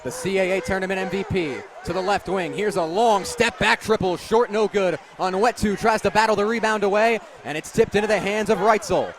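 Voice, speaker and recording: A young man doing animated live radio basketball play-by-play, vividly calling the action and raising his voice on big plays. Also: high voice, brisk. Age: young adult. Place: courtside in a noisy basketball arena. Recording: loud arena crowd and bouncing ball. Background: crowd noise, basketball bounces.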